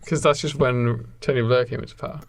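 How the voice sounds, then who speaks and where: A man's voice: medium pitch, steady tone, a little fast, creaky. A man in his twenties casually improvising a story as a podcast co-host. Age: 20s.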